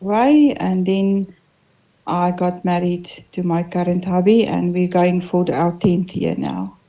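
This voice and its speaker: A middle-aged South African woman whose first language is Afrikaans, co-hosting an internet radio chat and pausing to search for words. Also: fairly low pitch, fairly even intonation, mellow, poor audio. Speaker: co-host.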